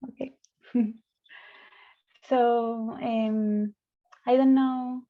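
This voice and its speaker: A woman from Spain with a Spanish accent, a psychologist leading an online debate in slow, hesitant English full of fillers. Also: a little high, steady tone. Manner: slow, hesitant, frequent fillers and light laughs. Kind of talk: online discussion session. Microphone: video call. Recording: dull lo-fi call audio.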